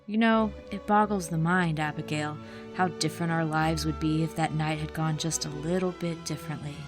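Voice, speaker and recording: A woman's voice: sits low, fairly even intonation, normal speed, over music, lightly acted. A woman playing an urbane, gloating serial killer prisoner in an audio drama, wry and theatrically taunting. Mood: wry, reminiscing.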